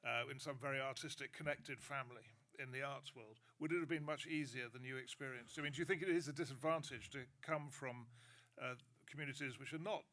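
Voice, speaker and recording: A man's voice: mid-range voice, steady tone, brisk, hardly any pauses, sharp tone. A man moderating a panel discussion, asking probing questions and rephrasing them as he goes.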